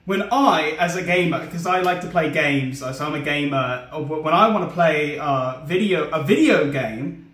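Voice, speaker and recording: A man's voice: fairly high pitch, normal speed, fairly bright tone. A man hosting a comedic let's play in a rambling, naive, obtuse way. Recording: roomy echo, faint music behind.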